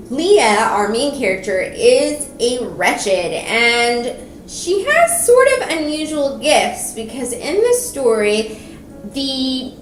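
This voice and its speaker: A woman's voice: on the high side, a bit bright. A woman giving a casual, chatty and enthusiastic book review, talking as if to viewers of her own video. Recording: roomy echo with background noise.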